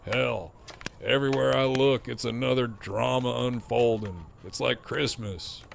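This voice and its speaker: An actor playing a mocking, foul-mouthed middle-aged antagonist with a sardonic, needling drawl in an audio drama. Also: male voice, mid-range voice, steady tone, unhurried, creaky, a little hiss or hum. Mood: taunting, amused.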